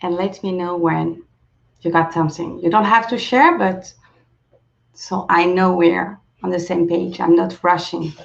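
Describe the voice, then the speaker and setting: A woman from France with a French accent, a native French speaker, leading an interactive coaching webinar in a gently encouraging way and thanking participants for sharing. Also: on the low side, steady tone, measured pace, gravelly. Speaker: self-leadership coach. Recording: lo-fi webinar audio.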